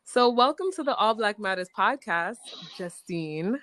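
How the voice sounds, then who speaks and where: A woman hosting a podcast, talking in a bubbly, slangy, excitable way as she chats casually with a guest. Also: mid-range voice, measured pace, soft background music. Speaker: podcast host. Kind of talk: casual interview chat.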